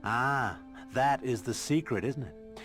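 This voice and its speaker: A man's voice: mid-range voice, slow, over music, lightly acted. An actor playing a genial, affectionate middle-aged male scientist in a video game, musing like a professor. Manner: warm welcoming, professorial, musing.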